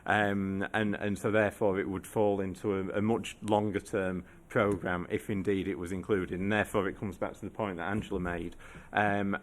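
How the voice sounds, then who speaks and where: A man briefing a council committee in a measured, formal way, pausing and restarting often. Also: deep voice. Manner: measured, halting, frequent restarts. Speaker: council officer.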